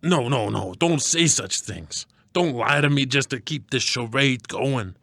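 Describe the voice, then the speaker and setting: A man's voice: mid-range voice, a little slow, vocal fry, sharp tone, no room sound, full character voice. A man playing a blustery, wisecracking radio producer and disillusioned sports fan in a scripted comedy skit, with slangy, melodramatic talk. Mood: aggrieved melodrama.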